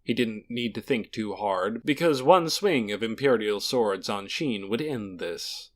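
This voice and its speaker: A man's voice: lowish voice, expressive, unhurried. A man narrating a fantasy audiobook in a dramatic, scripted storytelling style.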